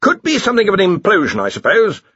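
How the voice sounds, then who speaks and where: A blustering elderly wizard in charge, acted out by a male audiobook reader. He is raised in pitch and argumentative, with a rough edge to the voice. The dry recording sounds a little dull. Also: wildly swooping pitch, fast talker, bright, forceful tone, dry recording, heavily acted. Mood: brisk, musing. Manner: argumentative, booming, impatient. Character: blustering, domineering old male wizard, head of faculty.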